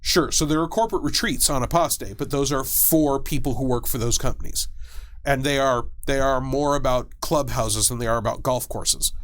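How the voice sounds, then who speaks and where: A man's voice: medium pitch, normal speed, fairly bright tone. Man explaining game lore as guest. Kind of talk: casual explanatory interview talk.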